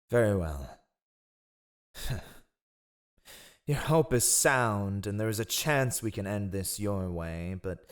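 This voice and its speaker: Haughty, swooping spy master, acted by a man. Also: fairly low pitch. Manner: sardonic, theatrical, sighing disdain. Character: scheming, haughty male spy master and royal advisor. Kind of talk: fantasy audio roleplay acting.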